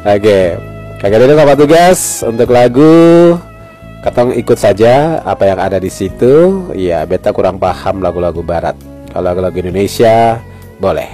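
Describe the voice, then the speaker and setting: Ambonese guy hosting late night radio, low mellow voice, jovial, bit of music behind. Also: lively intonation, moderate pace. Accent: Ambonese Malay. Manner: jovial, often chuckling. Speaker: radio host. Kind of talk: late-night radio call-in chat, reading listener messages. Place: radio studio. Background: music in the background.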